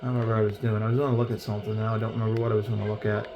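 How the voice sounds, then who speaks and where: A man's voice: on the low side, little pitch movement, racing, highs cut off, background noise. Chatty young man, easily sidetracked. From Pennsylvania, USA. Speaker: gaming YouTuber. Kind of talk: casual rambling gameplay commentary. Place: bedroom at home.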